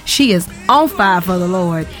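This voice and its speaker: An actress playing a devout churchgoing aunt who also narrates the story. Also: female voice, on the low side, lively intonation, a little slow, soft background music. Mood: assured. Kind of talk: audio drama narration.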